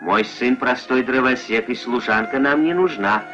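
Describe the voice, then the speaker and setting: A man's voice: mid-range voice, normal speed, music in the background, close to an everyday voice. A man voicing a kind, modest woodcutter's mother in a puppet fairy-tale film, homely and insistent. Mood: kindly. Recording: muffled old film soundtrack.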